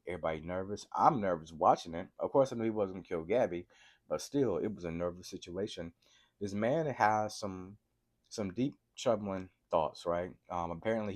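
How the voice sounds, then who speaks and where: Male TV reviewer, congested from a cold and sore throat, excitable chatty recap delivery, very low pitch. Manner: excitable, keeps asking "right?" to viewers. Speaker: TV episode reviewer. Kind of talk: casual TV recap vlog.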